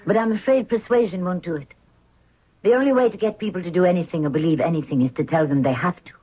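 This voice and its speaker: Imperious young queen, husky, medium pitch, hard-willed, tinny old radio drama. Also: fast talker. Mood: firm, persuasive. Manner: firm, imperious. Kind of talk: 1970s radio drama acting. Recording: tinny narrow-band old radio recording.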